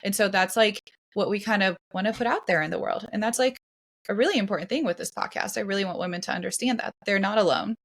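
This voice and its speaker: A woman's voice: mid-range voice, fast talker, fairly bright tone. A woman in her thirties co-hosting a podcast, talking casually with lots of fillers and restarts. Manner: chatty, many fillers and restarts. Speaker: podcast co-host.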